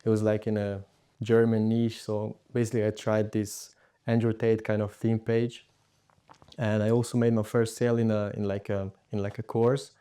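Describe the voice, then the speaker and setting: A man's voice: on the low side, little pitch movement, normal speed, warm tone, clear, resonant tone. A young man from Hungary, raised partly in Austria, casually telling his story as a podcast guest. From Hungary, moved to Austria aged seven. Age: teens to young adult.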